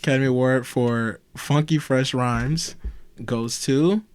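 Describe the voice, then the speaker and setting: A man with a soft, mid-pitched voice reads party-game cards aloud at an easy pace. He often breaks into laughter while he reads. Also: a little slow, smooth, dry recording. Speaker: podcast guest. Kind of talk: casual chat, reading game cards aloud.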